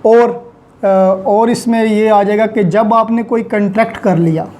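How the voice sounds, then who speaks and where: Slow, mellow-voiced Pakistani law lecturer. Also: medium pitch, fairly even intonation. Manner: methodical, step-by-step explaining with examples. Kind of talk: explanatory lesson, Urdu mixed with English legal terms.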